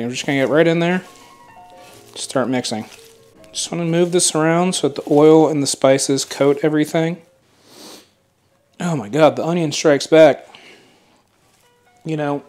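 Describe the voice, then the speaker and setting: Laid-back man teaching a home recipe. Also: a little high. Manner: relaxed and chatty, many fillers, mild swearing. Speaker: cooking channel host. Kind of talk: casual cooking tutorial. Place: home kitchen, indoors.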